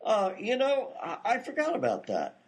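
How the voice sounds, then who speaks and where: A lively, slightly high-pitched vaudeville manager played by a man, husky and wisecracking. He gets flustered and talks in wry banter, like an old radio comedy act. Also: exaggerated voice. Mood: wry, irritated. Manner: wry, fast-talking banter.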